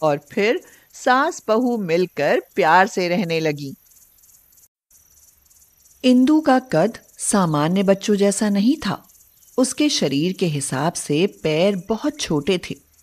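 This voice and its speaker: A female storyteller delivers scripted narration. Her pitch sits in a middle range and she takes her time over each phrase. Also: very animated, takes it slowly.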